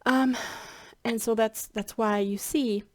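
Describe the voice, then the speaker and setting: A woman's voice: fairly high pitch, steady tone, slow. A woman, a spiritual hypnotherapy practitioner, chats casually to her viewers, a little congested with a slightly scratchy voice, rambling with many ums and restarts. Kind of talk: casual chat to camera.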